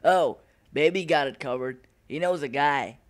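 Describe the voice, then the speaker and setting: A man's voice: on the high side, moderate pace, close to an everyday voice. A man voicing an eager, overconfident twelve-year-old big brother in a cartoon, dramatic and self-assured. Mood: determined. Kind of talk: cartoon voice acting.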